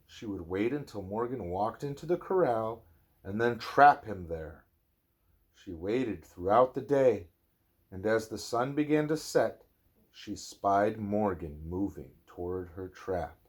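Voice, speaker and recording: Man, father reading aloud, storybook narration, lively pitch swings, slow measured pace, dramatic pauses. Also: breathy edge.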